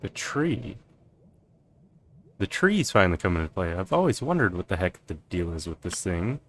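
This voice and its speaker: A man giving casual, low-key playthrough commentary, rambling as he thinks aloud. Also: very animated, normal speed, a little hiss or hum. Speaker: game streamer. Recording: game music behind the voice. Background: game music.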